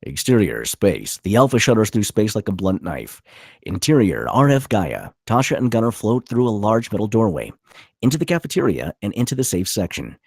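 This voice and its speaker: A man's voice: on the low side, wildly swooping pitch, normal speed, darkish tone, breathy edge, dry recording, clean studio sound. A man narrating stage directions at a live screenplay table read.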